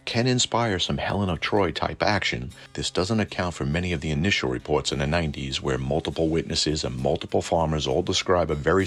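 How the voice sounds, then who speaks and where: Man, very low husky voice, colloquial and irreverent, semi-scripted lore commentary. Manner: colloquial, irreverent, mildly profane. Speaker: host of a cryptid and tabletop lore channel. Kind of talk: semi-scripted deep-dive commentary, casual asides.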